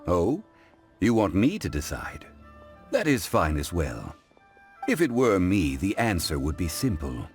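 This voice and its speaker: An actor playing a calm, refined gentleman connoisseur in a video game, speaking in a formal, measured, lecturing way. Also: deep voice, soft background music, full character voice. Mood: unbothered. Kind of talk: English-dubbed video game acting.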